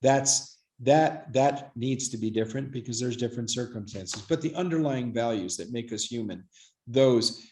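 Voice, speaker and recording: A man giving earnest, oratorical remarks as a guest expert, solemn and deliberate, repeating words for emphasis. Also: medium pitch, a little mellow. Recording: echoey room.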